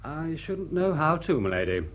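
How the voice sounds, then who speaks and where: Dryly witty English butler, animated. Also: male voice, a little high, moderate pace, phone-quality sound. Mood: deferential. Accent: upper-class English. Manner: formal, dry, deadpan wit. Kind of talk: British radio drama acting.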